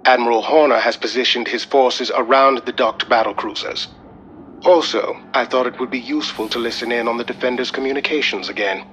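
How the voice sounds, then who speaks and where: A man playing a calm, dutiful military operations officer giving matter-of-fact briefings in a video game. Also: medium pitch, a little fast, slight background noise, close to an everyday voice. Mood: composed, businesslike.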